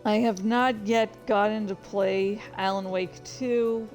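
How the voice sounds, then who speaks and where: A woman hosting a livestream with casual, halting, easily sidetracked chat. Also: mid-range voice, steady tone, darkish tone, faint music behind. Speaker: livestream host and fan fiction reader. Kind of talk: casual rambling stream chat.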